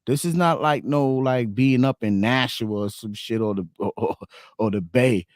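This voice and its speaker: A man's voice: medium pitch, moderate pace, dry recording. A middle-aged man, chatting on a podcast. He rambles and circles back on his words in heavy slang, in a mid-range voice recorded dry and close. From Boston. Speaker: podcast co-host. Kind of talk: casual podcast banter.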